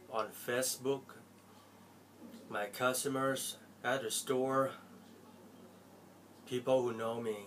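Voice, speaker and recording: A man's voice: medium pitch, a little hiss or hum. A young Thai man with a Thai accent, an Apple store salesperson speaking earnestly and pleadingly to camera from a small bedroom. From Thailand. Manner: heartfelt, pleading, expressive swings of loudness. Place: small cluttered bedroom indoors.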